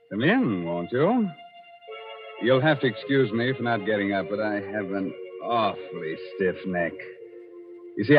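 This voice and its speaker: A creepy American horror host speaks in a low, theatrical voice with macabre wit. It is an old, muffled 1940s radio broadcast with music clearly behind. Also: male voice. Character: Raymond, creepy, sardonic male horror-show host.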